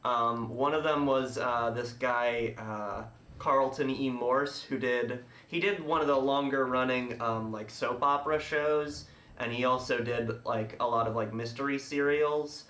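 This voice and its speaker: Bright-voiced man rambling in echoey room. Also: mid-range voice, fairly even intonation. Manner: rambling, halting, self-interrupting explainer. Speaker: podcast host. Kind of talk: casual chat.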